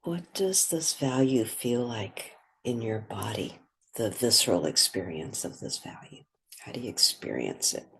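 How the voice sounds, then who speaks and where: A soft-spoken woman leading a guided meditation, pausing between short phrases. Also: rumbling low, expressive, creaky, slightly rough.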